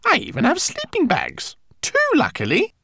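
A man voicing a small, feisty female rat in an audiobook, brisk, bossy and no-nonsense. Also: very high voice, wildly swooping pitch, creaky, sharp tone, voice pushed up, heavily acted. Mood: exasperated. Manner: exasperated, no-nonsense.

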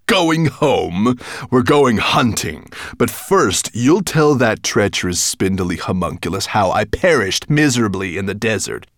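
Growling, tyrannical monster. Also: male voice, fairly low pitch, very animated, a little slow, dry recording, studio quality. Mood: snapping, scheming. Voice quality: growling, snarling, roaring. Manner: imperious, sarcastic, explosive. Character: huge, tyrannical male dragon-like monster, furious, menacing, contemptuous.

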